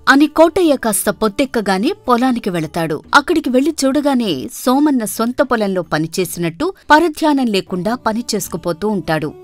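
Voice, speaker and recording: Woman narrating a folk story from a script, highish voice, soft music in the back. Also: very animated, moderate pace, few pauses.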